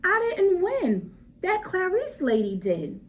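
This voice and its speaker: Woman playing a little girl, storybook, high voice, eager, anxious, questioning, plaintive. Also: wildly swooping pitch, takes it slowly, slight background noise, full character voice. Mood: puzzled.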